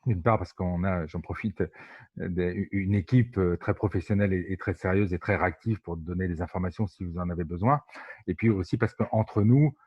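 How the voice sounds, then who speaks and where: A man with a very low voice speaks haltingly, as an elected official sharing experience in an online meeting. The voice is husky, and the call sounds muffled. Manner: hesitant, halting. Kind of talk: informal remarks in an online meeting. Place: video conference, roomy sound. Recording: muffled, echoey video call.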